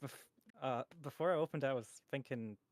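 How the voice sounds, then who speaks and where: A man voice actor telling a story in a casual chat, animated and acting out quotes. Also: mid-range voice, normal speed, no room sound.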